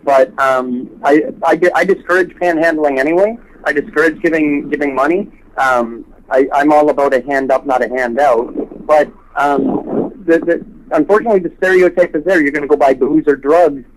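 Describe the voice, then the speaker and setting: Earnest man, halting phone interview delivery. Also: on the high side, rough recording. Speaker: interview guest, homelessness awareness activist. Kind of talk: telephone interview, spontaneous advocacy talk. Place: on a telephone call. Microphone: telephone.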